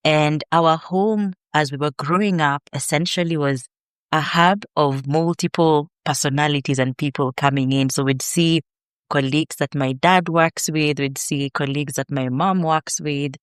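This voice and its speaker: A woman with a Kenyan accent, a podcast guest and researcher, telling her story in a measured, earnest way. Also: sits low, fairly even intonation, smooth, no room sound, clean studio sound. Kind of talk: reflective interview answers.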